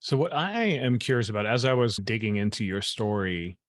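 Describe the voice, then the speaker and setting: A man's voice: lowish voice, very animated, moderate pace, no echo. A man hosting a podcast, introducing and interviewing a guest in a conversational presenter style.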